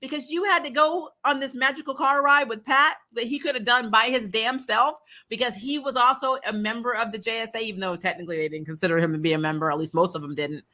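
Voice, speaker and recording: A woman's voice: on the high side, quick pace, few pauses, pushed and bright. A woman hosting a casual fan livestream, giving candid, emphatic opinions in slangy, chatty commentary. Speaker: host of a fan recap livestream.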